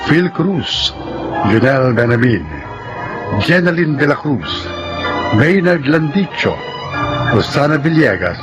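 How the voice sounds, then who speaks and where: A man hosting a radio drama program, reading scripted narration in a measured, declamatory manner. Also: mid-range voice. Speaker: drama program host reading moral and credits. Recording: loud music bed under voice.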